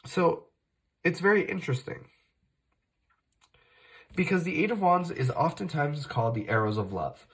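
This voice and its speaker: A man's voice: a little high, moderate pace, long pauses, fairly bright tone. A man hosting a tarot reading, speaking casually while fighting off a cold, often correcting himself and using fillers. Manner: conversational, self-correcting, many fillers. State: fighting off a cold, occasional cough. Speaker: tarot reader, channel host.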